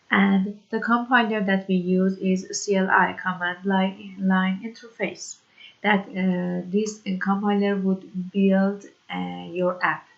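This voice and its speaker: A woman from Iran, whose first language is Persian, teaching a programming tutorial in slow, hesitant English full of fillers. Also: mid-range voice, vocal fry, lo-fi sound. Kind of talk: screen-recorded coding tutorial.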